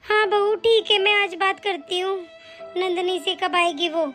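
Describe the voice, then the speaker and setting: A woman voicing a soft-hearted, fretful old grandmother in a family skit. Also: extremely high pitch, fairly even intonation, a little slow, soft background music, heavily acted. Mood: gentle. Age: older. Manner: gentle, fretful. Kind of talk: comic family skit acting.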